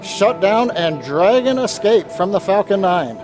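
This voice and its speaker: Guy w a high voice calling a live launch, technical stuff, music behind him. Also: expressive, a little slow. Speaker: launch webcast commentator.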